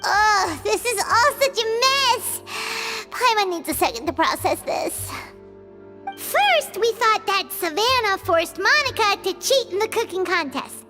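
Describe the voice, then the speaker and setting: A woman voicing a tiny, excitable childlike female fairy companion in a video game, chatty, exclamatory and easily baffled. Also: unhurried, over music. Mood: flustered. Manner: exclamatory, squeaky cartoon delivery, refers to self in third person.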